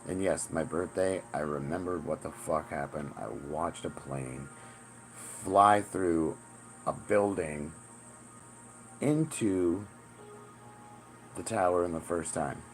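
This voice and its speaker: Man in his 40s, very deep voice, gravelly, rambling, profane, music behind. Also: slow, pauses often, poor audio. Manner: rambling, profane, repeats "the thing is". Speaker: self-styled spiritual teacher.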